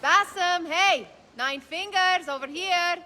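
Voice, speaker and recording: A woman's voice: very high voice, slow pace, bright, forceful tone, a little hiss or hum, exaggerated voice. A woman playing a cheeky, playful young thief in a video game, teasing as she talks, in long reverb. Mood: excited calling. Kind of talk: video game cutscene acting.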